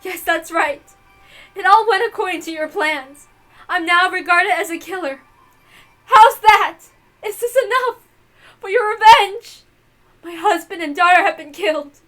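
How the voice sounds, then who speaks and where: A woman's voice: extremely high pitch, unhurried, long pauses, faint music behind, exaggerated voice. A woman voicing a proud, guilt-ridden mother confessing murder in a dramatic, emotional visual novel read-aloud. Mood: bitter, impassioned. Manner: theatrical, emotional, swinging from hushed to impassioned.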